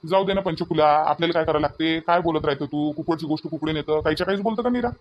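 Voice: medium pitch, very fast, highs cut off, slight background noise, lightly acted. A performer voicing a mild middle-aged village husband in a comic cartoon, chiding and placating in a Varhadi Vidarbha accent. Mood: mildly impatient. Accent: Varhadi Marathi of Vidarbha.

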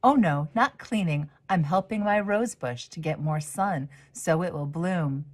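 A woman's voice: on the low side, a little slow, darkish tone. A woman voicing a hopeful, determined little girl in a storybook, earnest and eager. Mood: eager, insistent.

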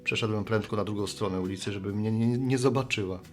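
A man's voice: on the low side, fast talker, faint music behind, natural voice. An actor playing a good-natured, sheepish middle-aged man, a postman and bar regular, talking in a folksy, rambling way in a radio play.

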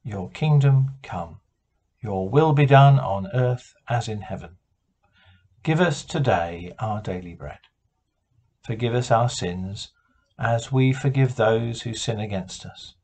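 A British man in his sixties leads a quiet devotional at home. He speaks slowly in a husky, mid-pitched voice. His manner is gentle and a little hesitant, trailing off softly. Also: animated. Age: 60s. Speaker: prayer leader. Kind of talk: quiet devotional talk and prayer. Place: living room at home.

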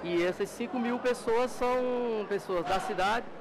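A man's voice: very high-pitched, measured pace. Middle-aged man in a noisy market. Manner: steady, factual with tag questions. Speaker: market association representative. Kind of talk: interview answers. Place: large covered wholesale market. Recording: market noise behind.

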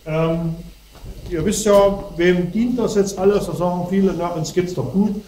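A man's voice: high voice, moderate pace, warm tone, a little hiss or hum. A middle-aged man with a cold, lecturing to an audience while standing and gesturing. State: has a cold. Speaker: speaker. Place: darkened hall before a projection screen. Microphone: far camera. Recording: echoey hall from far off.

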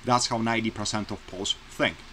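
A man's voice: lowish voice, fairly even intonation, fast talker, bright, forceful tone. Polish guy in his 40s ranting into a webcam in his bedroom, plain earnest talk, slight hiss. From Poland. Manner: earnest, plainspoken, repeats points for emphasis. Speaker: vlogger. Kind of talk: casual opinion vlog to camera. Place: small bedroom, indoors. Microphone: webcam close-up.

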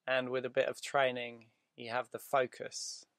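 A man leading a guided meditation in a soothing, deliberate way, leaving drawn-out pauses between short phrases. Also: medium pitch, completely flat delivery, gravelly, fairly bright tone, no room sound, studio quality.

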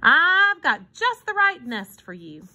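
A grumbling old hobo with a soft heart, acted out by a woman reading a storybook. The voice is bright and sits rather high, swinging widely in pitch as he exclaims with emphasis. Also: very animated, slow pace, muffled recording, heavily acted. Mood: reassuring. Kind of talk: expressive children's storybook reading.

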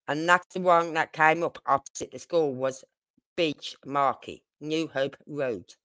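A woman's voice: low-pitched, a bit bright, dry recording. A woman with a British accent hosting a casual true-crime livestream, chatting in a rambling, informal way. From United Kingdom. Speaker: livestream host.

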